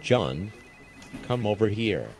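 A man's voice: on the low side, sing-song, takes it slowly, darkish tone, full character voice. A man's synthetic voice playing a grave space center worker in a scripted disaster drama, stilted and hesitant. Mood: grave, worried. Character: grave male space center worker bearing bad news.

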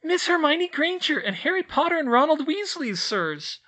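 A man voicing a timid little female house-elf who squeaks and speaks in broken grammar. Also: sing-song, normal speed, pushed and bright, exaggerated voice. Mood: eager, anxious.

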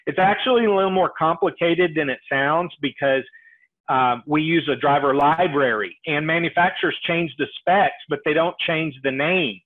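A middle-aged man presenting a live software tutorial, explaining things step by step over a headset on a video call. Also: fairly high pitch, normal speed. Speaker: webinar presenter demonstrating software. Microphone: earpiece headset on a video call. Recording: tinny, phone-like webinar audio.

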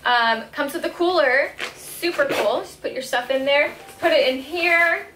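A woman's voice: sits high, unhurried, roomy sound, noisy background. Chatty young woman demoing a wagon. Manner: chatty, upbeat, filler-heavy. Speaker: vlogger. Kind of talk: casual product demo. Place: home room with wooden floor.

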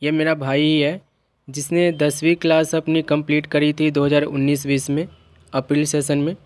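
A man's voice: fairly high pitch, little pitch movement, normal speed, a little mellow, no echo. A man explaining things to viewers in a vlog, repeating and rephrasing his points as he goes. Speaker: YouTuber helping open-school students.

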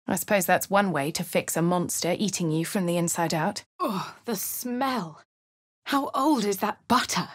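An actress voicing a posh English woman adventurer in a video game, speaking crisply with a dry, self-assured manner. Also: on the low side, normal speed, no echo, lightly acted. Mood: wry. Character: posh young woman adventurer, cool and wry. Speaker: player character. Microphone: close studio mic.

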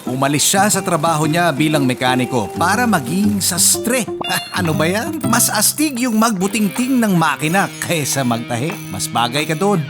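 A man with a Filipino accent voicing a proud, scornful alien cat general in an animated series, theatrical and pompous. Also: a little high, normal speed, over music, close to an everyday voice. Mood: mocking. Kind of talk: animated cartoon narration.

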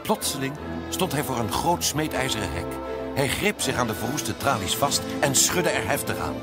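A man's voice: medium pitch, sing-song, normal speed, over loud music. A man narrating a scripted fairy tale as a storyteller.

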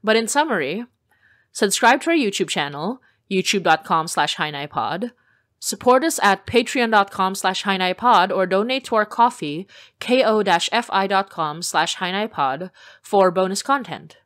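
A woman's voice: mid-range voice, expressive, moderate pace, a bit bright, no echo. Friendly woman reading a podcast announcement, dry sound.

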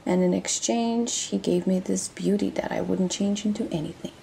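Mellow, gravelly pirate captain played by a woman. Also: mid-range voice, steady tone, normal speed, a little hiss or hum, poor audio. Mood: confiding, proud. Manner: cocky, flirtatious, addressing listener with pet names. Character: bold, teasing female pirate captain. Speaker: audio roleplay voice actor. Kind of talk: audio roleplay acting.

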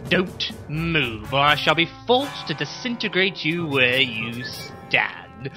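Slow, wildly swooping supervillain. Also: male voice, on the high side, sing-song, over music. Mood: gloating menace. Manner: grandiose, theatrical, cackling. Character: pompous, melodramatic male mad-scientist supervillain. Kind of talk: comedy audio drama acting.

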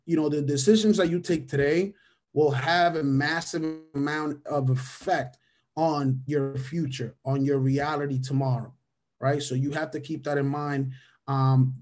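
A man's voice: a little high, steady tone, unhurried, darkish tone. A man in his thirties, a native Spanish speaker from Venezuela, gives a motivational mentoring webinar over a video call. He repeats his key phrases and keeps checking in with listeners by asking "right?". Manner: ends almost every point with a questioning "right?". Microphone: webcam or headset on a video call.

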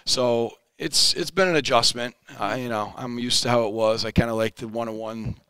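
A man's voice: mid-range voice, fairly even intonation, normal speed, sharp tone, clear, resonant tone, dry recording. Man, 40s, basketball coach, post-game interview, upbeat, repeats words, headset mic, dry close sound. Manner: upbeat, plain-spoken, often repeats words as he restarts. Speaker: high school basketball head coach. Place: indoor studio set in front of a backdrop.

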